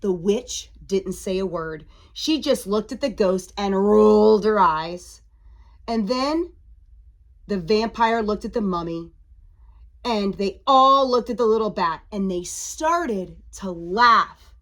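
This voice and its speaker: A woman's voice: mid-range voice, takes it slowly. A woman reading a children's picture book aloud to preschoolers, dramatic and emphatic, asking the listeners questions. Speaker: story reader for preschoolers.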